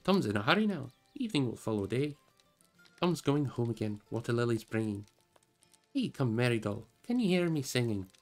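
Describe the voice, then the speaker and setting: A man's voice: mid-range voice, big pitch swings, moderate pace, close to an everyday voice. A man voicing a jolly, carefree old forest dweller from a fantasy audiobook, with a bouncy, sing-song, rhyming delivery. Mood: merry singing. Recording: music behind the voice.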